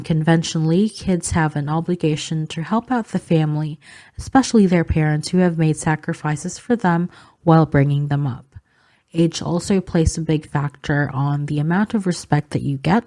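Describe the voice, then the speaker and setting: A low-voiced woman from the Philippines, Filipino her first language, speaking in a calm, informative vlog manner. Her tone is mellow and warm, and she pauses on little "um" fillers as she explains. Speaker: vlogger. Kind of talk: explaining culture to camera.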